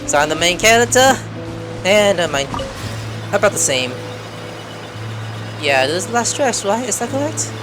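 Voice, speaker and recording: A man giving casual game commentary, excitable and chatting to himself as he plays. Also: high voice, normal speed, long pauses, over music. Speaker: gaming content creator.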